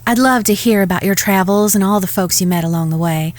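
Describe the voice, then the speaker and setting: A woman's voice: medium pitch, brisk, close to an everyday voice. A woman playing a proud, wronged Old West ranch woman with a Southern American accent in a Western audio drama. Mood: gentle, wistful.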